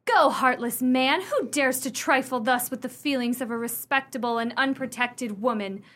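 A woman's voice: sits high, moderate pace, a bit bright. A woman playing a haughty, melodramatic young lady torn between suitors, in a theatrical comic period radio play. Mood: indignant. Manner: theatrical, grandly indignant.

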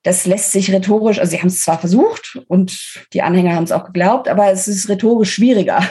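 A woman's voice: medium pitch, fast talker, dark tone, husky. A woman speaking as an interviewed author, explaining things analytically in a conversational expert style. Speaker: interviewed guest, book author.

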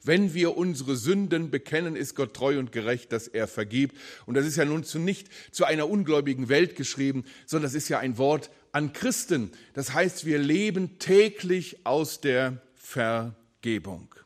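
A man preaching a sermon, earnest and emphatic, slowing and dropping his voice low on key words. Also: lively intonation.

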